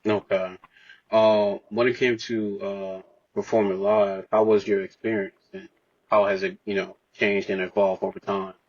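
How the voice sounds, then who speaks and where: A man hosting a casual podcast interview, asking questions in a halting way with pauses. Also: on the low side, level tone, lo-fi sound.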